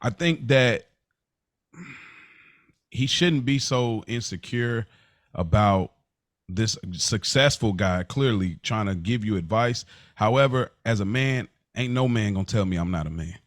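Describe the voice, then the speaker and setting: A man's voice: lowish voice, animated, unhurried, no echo, studio quality. An American man giving opinions in a casual discussion, assertive and emphatic, repeating his key points. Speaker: podcast co-host.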